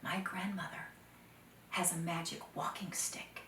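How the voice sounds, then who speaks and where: A woman's voice: fairly low pitch, steady tone, measured pace, fairly bright tone, slight background noise. A woman voicing an anxious, cautious young woman in a folktale, speaking in grave warnings. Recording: roomy echo, distant microphone.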